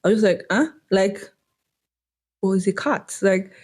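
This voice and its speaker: A woman's voice: medium pitch, measured pace, a little mellow, husky. A young Nigerian woman co-hosting a podcast, chatting in a reflective, wry way with a Nigerian accent and bits of slang. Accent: Nigerian English. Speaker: podcast co-host. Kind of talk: casual chat.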